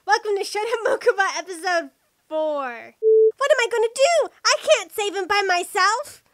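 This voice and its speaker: A woman's voice: expressive, moderate pace, no room sound, clean studio sound. A woman voicing a whiny, hyper little boy with a lisp for a cartoon parody. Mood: panicked, whiny. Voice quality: squeaky. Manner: whiny, yelling.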